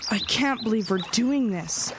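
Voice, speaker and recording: Casting: actress as sharp-tongued, sceptical girl, high voice, audio drama adventure. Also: expressive, a little slow, background noise. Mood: dry, exasperated. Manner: sarcastic, questioning.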